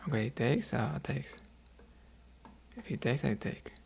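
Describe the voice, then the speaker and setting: A man from Cuba, streaming his chess games and quietly thinking his moves out loud. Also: medium pitch, unhurried, creaky, slight background noise. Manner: thinking aloud, muttering moves quietly, hesitant.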